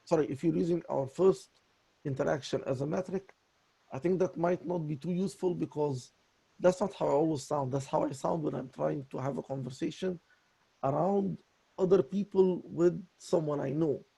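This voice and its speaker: Raspy guy apologizing a lot, stops and restarts, dark gravelly tone. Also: on the high side. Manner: apologetic and halting, many restarts. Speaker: voice student. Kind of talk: voice lesson conversation.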